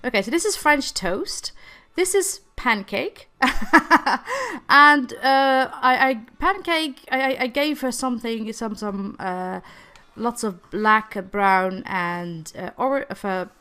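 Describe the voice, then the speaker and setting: A woman's voice: on the high side, lively intonation, slow, sharp tone, clean tone. A woman chatting casually about her game, slightly nasal and recovering from laryngitis, laughing often and stumbling over repeated words. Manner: hesitant, repeats words and stalls. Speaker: gaming video creator. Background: faint background music.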